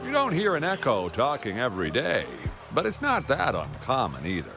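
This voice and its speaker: A man's voice: mid-range voice, huge pitch swings, normal speed, creaky. A man voicing a friendly, rambling local in a video game cutscene. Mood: chatty. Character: chatty easygoing local man. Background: game music.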